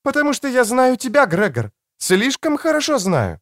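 A man voicing an elegant mature woman doctor in an audiobook, tender, frank and gently teasing, with soft expressiveness. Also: very high voice, huge pitch swings, normal speed, no echo, studio quality, pitched above the performer's own voice, full character voice. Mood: insistent, caring. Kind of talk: audiobook character dialogue.

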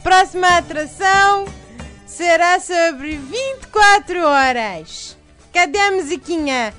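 A woman's voice: extremely high pitch, lively intonation, slow pace, fairly bright tone, faint music behind, heavily acted. A woman doing a comic Portuguese announcer. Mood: playful. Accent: European Portuguese (put on). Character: woman putting on a Portuguese accent. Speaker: recurring segment announcer. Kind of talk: comic recorded segment.

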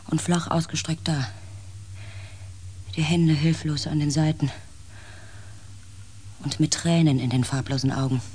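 An actor playing a compassionate narrator and protagonist in a science fiction radio play, gentle and reflective, over faint background noise. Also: male voice, fairly high pitch, husky. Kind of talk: radio drama narration and dialogue.

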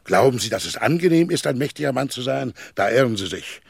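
An actor playing a middle-aged, weary and bitter powerful magnate in a German radio play, declaiming theatrically with a gravelly, husky voice. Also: male voice, mid-range voice, animated, fast, no echo, clean studio sound. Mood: bitter, agitated. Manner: theatrical, declamatory, with rhetorical questions.

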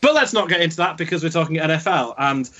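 A man's voice: a little high, animated, fast talker, sharp tone. Jokey English man hosting, lo-fi sound. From Yorkshire, England. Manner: jokey, self-deprecating, filler-heavy. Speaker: podcast host. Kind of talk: casual podcast chat. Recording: dull lo-fi sound.

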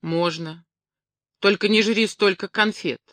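A woman's voice: medium pitch, a little slow, a bit bright, no room sound, natural voice. A woman voicing a stern, weary, protective male circus clown in an audiobook, curt and reproving.

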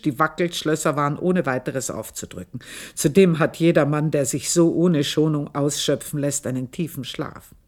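An actress in a radio play, playing a passionate, bitter, cunning young woman who confesses deliberately and intensely, at times hushed. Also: sits low, slightly rough, heavily acted. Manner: deliberate, intense, dramatic phrasing with hushed, near-whispered passages. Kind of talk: literary radio play monologue.

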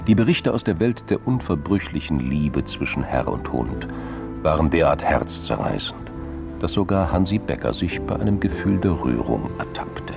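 A male narrator of a radio crime drama with an exceptionally deep voice. It crackles with gravelly fry as he reads literary prose. Music plays underneath. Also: very animated, moderate pace.

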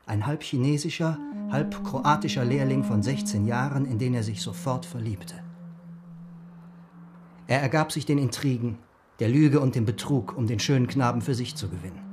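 An actor playing an Ottoman miniature painter in a radio drama, telling fables in a deliberate, formal way with drawn-out emphasis. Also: medium pitch, natural voice. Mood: measured storytelling. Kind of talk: literary radio drama storytelling. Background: music.

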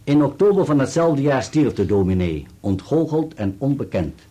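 An actor playing a legal adviser in a radio play, speaking in a measured, formal and articulate way. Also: male voice, medium pitch, big pitch swings, mellow, breathy edge. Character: measured legal adviser reading out a written accusation. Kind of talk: Dutch radio play acting, formal reading.

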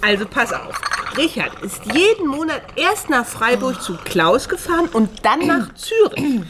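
An actress in a radio crime play playing a brisk, sardonic doctor turned amateur sleuth, blunt and probing. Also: female voice, high-pitched, sing-song, noisy background.

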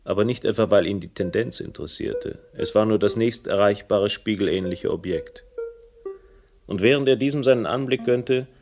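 An actor playing a wry first-person hero who narrates a German radio crime drama with dry irony. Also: male voice, on the low side, normal speed, music in the background, natural voice. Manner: dry, ironic.

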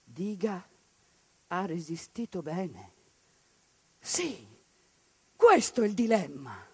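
A woman's voice: lowish voice, very animated, slow pace, warm tone, husky, no room sound. Woman in a bitterly ironic stage monologue. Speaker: stage performer. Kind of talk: theatre monologue on radio.

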